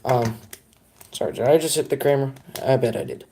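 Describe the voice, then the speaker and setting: A man's voice: medium pitch, a little fast, mellow, a little hiss or hum. A young man chatting casually on a live stream, rambling and self-deprecating as he talks to his viewers.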